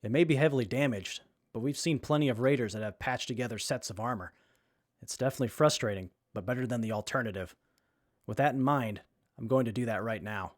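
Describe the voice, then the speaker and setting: A man's voice: mid-range voice, fast, lightly acted. A man playing an earnest, brainy young survivor who tinkers with armor, in a post-apocalyptic audio drama. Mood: matter-of-fact.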